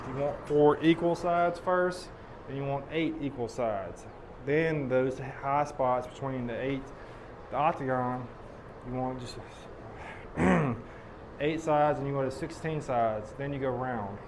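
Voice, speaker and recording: A hoarse man, losing his voice, folksy and explaining step by step as he demonstrates blacksmithing at a forge. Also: mid-range voice, a little slow, noisy background. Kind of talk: live teaching demonstration. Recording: loud hammer clanging behind voice.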